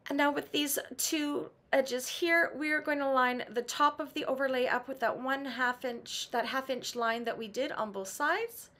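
Middle-aged woman, raspy from laryngitis, high voice, calm how-to sewing walkthrough. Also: moderate pace, hardly any pauses. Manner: patient, practical, with some "um" and restarts. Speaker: sewing tutorial host. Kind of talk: step-by-step sewing instruction. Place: home sewing room.

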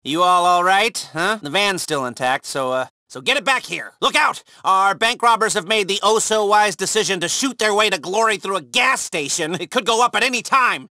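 A wry, upbeat male heist handler from a video game. His voice sits high and is crisp-edged and bright, in a very dry recording. He briefs casually, with little sardonic asides. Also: animated, normal speed, few pauses, no room sound, exaggerated voice. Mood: wry, exasperated. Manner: informal, wry, hesitant asides. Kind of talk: video game mission dialogue.

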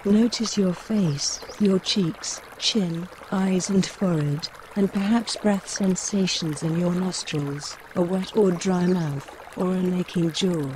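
Slow, mellow female meditation guide. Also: lowish voice, slight background noise, low-quality recording. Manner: gentle, measured instructions with pauses. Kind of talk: guided mindfulness body scan narration. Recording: running stream water behind voice. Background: trickling woodland stream.

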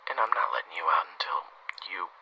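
A man playing a stubborn young man in an audio roleplay, speaking with dry, deliberate sarcasm. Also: deep voice, animated, lo-fi sound, slight background noise. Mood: grave, resolute. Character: tense, stubborn 28-year-old male captor, sarcastic.

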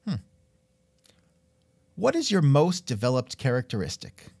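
Animated, wry male interviewer. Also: mid-range voice, unhurried, gravelly, breathy edge, dry recording. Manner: wry, musing, interjects with hmms. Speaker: podcast host and interviewer. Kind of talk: casual scripted interview chat.

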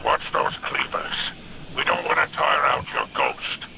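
A man playing a sly, greedy alien crime boss in a video game, with a gravelly voice and a sardonic drawl. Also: fairly high pitch, little pitch movement, a little slow, heavy background noise. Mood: sly, wry. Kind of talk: scripted game character dialogue. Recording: music under the voice.

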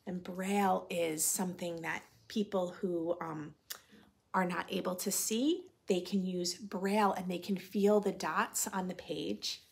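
A woman in her thirties reading a picture book aloud to preschoolers, expressively, in simple phrases with drawn-out key words. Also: fairly low pitch, fairly even intonation, creaky. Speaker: host of a children's read-aloud show. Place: indoor room with bookshelf and armchair.

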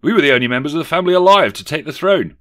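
A man's voice: fairly high pitch, expressive, rapid-fire, pitched above the performer's own voice, natural voice. A man voicing an aggrieved young prince in a satirical storybook, emphatic and complaining. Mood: aggrieved, sorrowful. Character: aggrieved young prince recounting his family's downfall. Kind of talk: satirical audiobook character reading.

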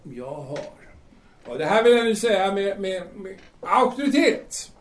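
High-pitched, boastful drunken poet. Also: male voice, big pitch swings. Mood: drunk, bombastic. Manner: slurred drunken bombast, halting and repetitive. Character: boastful, cantankerous absinthe-drinking young poet, theatre-hater. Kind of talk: audio drama acting. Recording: roomy, distant mic, faint noise.

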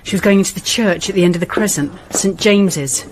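An actress with a British accent playing a businesslike, persistent detective inspector in a radio drama, direct and practical. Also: female voice, mid-range voice, quick pace, close to an everyday voice. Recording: noisy radio drama with ambience.

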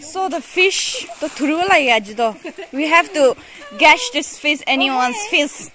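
A young woman, excitable and giggly, chatting casually with friends for a vlog. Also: sits high, measured pace, crowd noise. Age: young adult. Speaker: vlogger. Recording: noisy, echoey handheld vlog recording.

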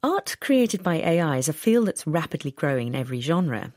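A woman's voice: low-pitched, big pitch swings, fairly quick, darkish tone, no echo, clean studio sound. A woman presenting a radio documentary, narrating in a scripted, inquisitive way.

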